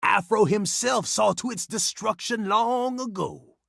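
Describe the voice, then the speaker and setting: An actor playing an eccentric, scheming old mystic in a video game, speaking in a theatrical, preachy way. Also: male voice, sits high, a little slow, creaky, fairly bright tone, dry recording, clean studio sound, exaggerated voice. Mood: solemn, insinuating. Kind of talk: video game character acting.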